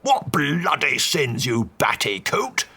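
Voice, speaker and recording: A man growling as a gravelly, profane old duke. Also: medium pitch, moderate pace, background noise, natural voice. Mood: raging. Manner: gruff, swears constantly. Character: crotchety old male duke, brutal and profane.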